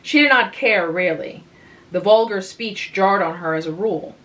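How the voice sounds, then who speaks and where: A woman giving a calm, relaxing reading of a literary short story. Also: mid-range voice, rough recording. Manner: slow, calm, unhurried. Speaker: reader of literature.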